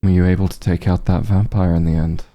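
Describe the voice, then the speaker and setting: A man playing a gentle, concerned doctor who is an old friend, speaking slowly and soothingly in a soft ASMR roleplay, with a low, husky voice. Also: little pitch movement, dull audio, lightly acted. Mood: concerned, sympathetic. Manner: slow, soothing, reassuring.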